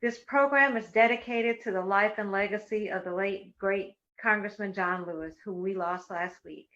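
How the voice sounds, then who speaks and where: A middle-aged woman moderating and hosting a webinar panel, speaking in a measured way with frequent fillers. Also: medium pitch, sharp tone. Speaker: moderator and host. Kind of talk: webinar moderation and personal remarks. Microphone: video call. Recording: muffled lo-fi webinar audio.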